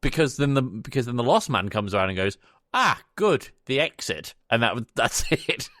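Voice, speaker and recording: Male podcast co-host, mid pitch with lively swings, casual and loose retelling, very dry recording. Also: normal speed, no room sound. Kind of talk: casual chat retelling a film.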